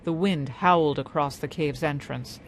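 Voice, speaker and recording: A woman storyteller reading scripted fantasy narration in a measured, dramatic way. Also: low-pitched, slight background noise. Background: music.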